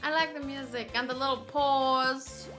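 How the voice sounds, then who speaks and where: A woman's voice: high-pitched, fairly even intonation, measured pace, music in the background. A young woman streamer chatting casually and rambling through a game reaction, with playful little exclamations. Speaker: gaming streamer. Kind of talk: casual livestream chat and reaction.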